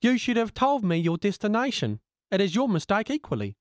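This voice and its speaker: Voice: medium pitch, huge pitch swings, brisk, no room sound, studio quality, voice pushed up. A storyteller voicing a plain-spoken male taxi driver who lectures his passenger with blunt wisdom, in a read-aloud story. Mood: blunt arguing.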